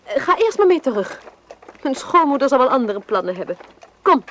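A flighty, self-important young wife in a radio drama. Her voice is high and swoops about animatedly as she bosses people with airy theatrical flair. The old recording carries some noise. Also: big pitch swings, moderate pace, a bit bright. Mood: impatient, bossy. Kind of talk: Dutch radio play acting.

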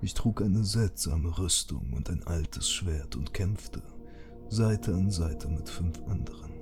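A man's voice: low voice, lively intonation, a little mellow, faint music behind, full character voice. A man playing a dreamer who recounts an ominous vision in a fantasy audio drama. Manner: slow, ominous. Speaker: first-person narrator. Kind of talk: scripted fan audio drama narration.

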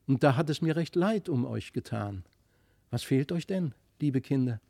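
A sweet magical child from a fairy tale, performed by a male reader. It speaks gently and playfully, encouraging and kindly, in a soft, mellow voice with a dry, close sound. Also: medium pitch, animated, moderate pace, creaky, dry recording. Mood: gentle concern.

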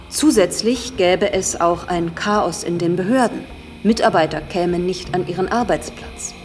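An actress playing an authoritative female professor, lecturing gravely and formally in a German radio drama. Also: fairly low pitch, close to an everyday voice. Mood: urgent, lecturing.

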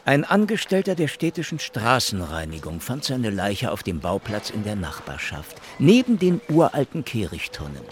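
A man's voice: fairly low pitch, huge pitch swings, normal speed, hardly any pauses, a little hiss or hum. An actor playing a probing police detective in a German radio play, clipped and insistent in his questioning. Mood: probing, official.

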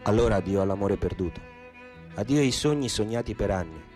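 An actor playing a wry, earthy Roman storyteller in a theatrical radio piece, with a Roman accent. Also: male voice, lowish voice, lively intonation, a little fast, a little mellow, soft background music, close to an everyday voice. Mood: solemn declaiming.

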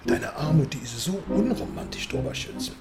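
A man's voice: mid-range voice, very animated, normal speed, creaky, loud music behind the voice. An actor playing a heavy, asthmatic male correspondent who teases his friend jovially. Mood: teasing. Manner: teasing, jovial. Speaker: foreign correspondent. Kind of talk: radio drama acting.

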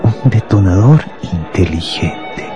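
Deep-voiced, coldly fanatical bomb maker. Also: male voice, lively intonation, music in the background. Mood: proud, menacing. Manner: deliberate, grandiose, menacing. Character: cold fanatical bomb-making professor, proud and deadly calm. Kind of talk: Spanish-language radio drama acting.

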